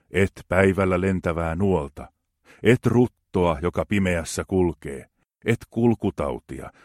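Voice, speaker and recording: A man reading psalms aloud in a slow, measured, reverent way. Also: low voice, darkish tone, no echo, clean studio sound. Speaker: scripture reader.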